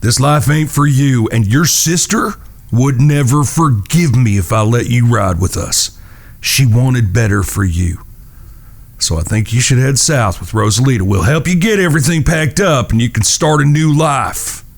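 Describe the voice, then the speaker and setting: Serious protective cowboy, earnest, audio drama. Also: male voice, mid-range voice, expressive, normal speed, gravelly. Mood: firm, protective. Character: grave, protective cowboy outlaw, eldest-brother type. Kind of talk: western audio drama acting.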